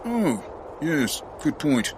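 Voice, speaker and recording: A man's voice: on the high side, big pitch swings, drawn-out words, a little hiss or hum, exaggerated voice. A man playing a jolly, pompous police commissioner and adventurer in a comedic audio drama, exclaiming grandly. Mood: theatrical bravado.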